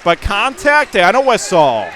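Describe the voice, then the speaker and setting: A man doing radio play-by-play of a basketball game in clipped, running phrases with bursts of excitement. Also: high-pitched, a little slow. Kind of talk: live basketball commentary. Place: indoor high school basketball gym.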